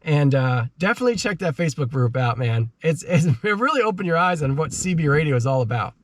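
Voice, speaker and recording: A man with a slightly high voice hosts a how-to video in a chatty, slangy way. He explains things informally, like someone talking to friends. The sound is dry, with very little room echo. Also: lively intonation, quick pace. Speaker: host of a car DIY channel. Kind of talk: casual how-to vlog talk.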